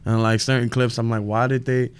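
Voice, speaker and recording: Comic guy kinda halting, restarts his thoughts a lot, smooth clean voice. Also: medium pitch, flat delivery. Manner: halting, starts and restarts lines. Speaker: stand-up comedian. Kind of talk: casual chat.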